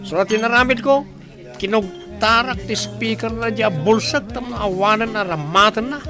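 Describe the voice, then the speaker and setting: A man's voice: sits high, moderate pace, music in the background. An actor playing a jealous, drunk, hot-tempered man in love, in a radio drama. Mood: jealous, defiant. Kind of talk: Ilocano radio drama acting.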